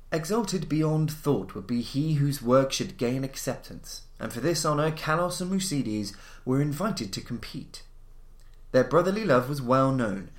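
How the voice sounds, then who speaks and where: Guy doing a dramatic gothic story reading, measured and formal, mid voice, lots of room echo. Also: mid-range voice. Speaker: podcast host and narrator. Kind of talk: theatrical scripted reading of gothic horror stories. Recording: roomy, echoing voice recording.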